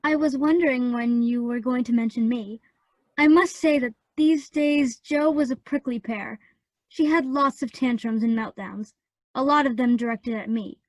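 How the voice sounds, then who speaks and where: An actress as Amy, high-voiced pert sister. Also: female voice, dry recording, close to an everyday voice. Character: Amy, self-assured, pert young sister turned painter. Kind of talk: radio play acting.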